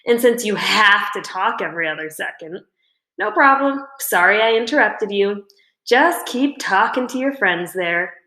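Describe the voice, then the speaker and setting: A woman's voice: mid-range voice, animated, moderate pace, bright, forceful tone. A woman reading a children's storybook, playing an annoying, cocky schoolboy with a mocking, needling manner. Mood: mocking, teasing. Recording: roomy indoor echo.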